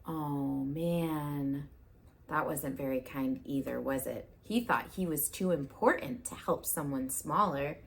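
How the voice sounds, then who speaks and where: A woman reading a picture book aloud to preschoolers, gently asking the children questions along the way. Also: deep voice, slow pace, vocal fry.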